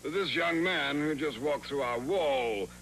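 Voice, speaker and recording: A man voicing an impatient, middle-aged king in an animated fairy tale, blustering and coaxing as a father. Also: on the high side, slow, gravelly, slight background noise, exaggerated voice.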